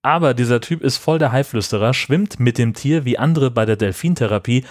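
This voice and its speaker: A man's voice: mid-range voice, brisk, no echo, clean studio sound. A man co-hosting a podcast, reading a scripted retelling of a film. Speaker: podcast co-host. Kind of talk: scripted film recap narration.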